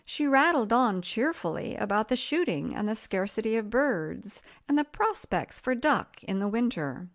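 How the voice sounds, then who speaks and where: A woman reading a literary story as an audiobook narrator, measured and expressive. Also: medium pitch.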